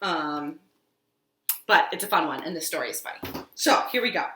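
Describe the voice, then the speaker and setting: A woman hosting a children's storytime, reading and reciting rhymes in an expressive, sing-song, exaggerated way for young children. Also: sits low, moderate pace, slight background noise. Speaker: children's storytime host at a library. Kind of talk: children's storytime with action songs, rhymes and picture-book reading. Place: living room at home. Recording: echoey room.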